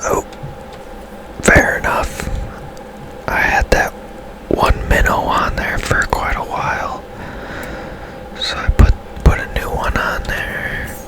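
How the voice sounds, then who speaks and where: A man speaks in a hushed, breathy whisper throughout. His delivery is slow and unhurried, with long, easy pacing. He gives casual ASMR-style commentary as a gaming streamer. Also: low-quality recording.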